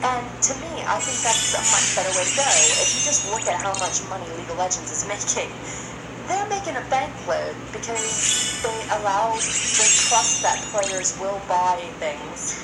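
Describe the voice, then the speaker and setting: A woman's voice: mid-range voice, lively intonation, normal speed. A woman in a casual, opinionated podcast discussion, speaking in an earnest, exasperated way. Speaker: podcast co-host. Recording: roomy echo, loud music behind. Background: loud background music.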